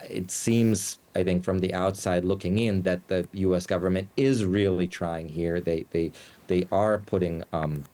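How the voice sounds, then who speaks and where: A man from the United States, a journalist giving news interview answers, speaking haltingly with many fillers and restarts. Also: sits low, fairly even intonation, dark tone. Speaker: journalist and Moscow bureau chief, guest.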